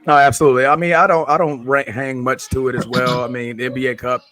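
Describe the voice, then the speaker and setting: A hoarse man co-hosting a podcast, talking sports in a laid-back way and calling his co-host "man" and "brother.". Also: mid-range voice, flat delivery, fast talker, a bit bright. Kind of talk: casual sports chat.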